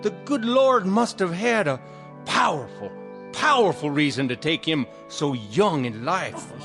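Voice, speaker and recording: Slow-talking Southern country preacher. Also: male voice, a little high, sing-song, vocal fry, music in the background, full character voice. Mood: solemn, preaching. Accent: American South. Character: folksy rural Southern reverend, solemn and fervent. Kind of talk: radio drama acting, funeral eulogy.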